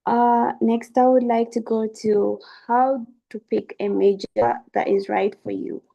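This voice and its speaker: Young woman, creaky and soft-toned, earnest student presenter encouraging her listeners. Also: on the high side, steady tone, measured pace, rough recording. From South Sudan. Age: young adult. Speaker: student webinar speaker. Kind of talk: informal educational presentation.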